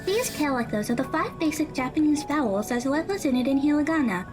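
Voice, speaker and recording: A woman playing an earnest, studious high school girl in an audio drama, speaking in precise, formal phrases over music. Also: animated, fairly quick. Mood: encouraging, helpful.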